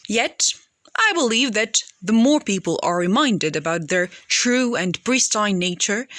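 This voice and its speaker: A woman's voice: on the high side, fairly bright tone, no echo, clean studio sound. A woman reading scripted audiobook narration.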